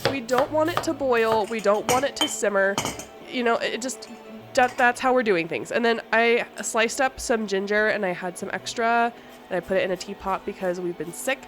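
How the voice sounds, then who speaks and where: A woman's voice: a little high, normal speed, over music. A woman hosting a cooking video in a casual, chatty way. She sounds congested from allergies. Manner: chatty, relaxed, talks while cooking. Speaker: cooking channel host. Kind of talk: casual cooking vlog narration.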